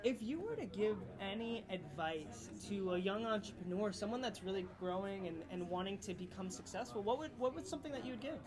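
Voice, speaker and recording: A woman's voice: mid-range voice, animated, brisk, hardly any pauses. A woman hosting a casual on-site interview, speaking in an enthusiastic, effusive and informal way. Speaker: event interviewer. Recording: busy crowd chatter behind the voice. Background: event crowd chatter.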